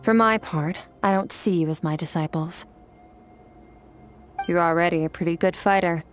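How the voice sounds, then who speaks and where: A woman voicing a calm, aloof female warrior mentor in a video game, speaking in a terse, matter-of-fact way. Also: lowish voice, normal speed, soft background music, lightly acted.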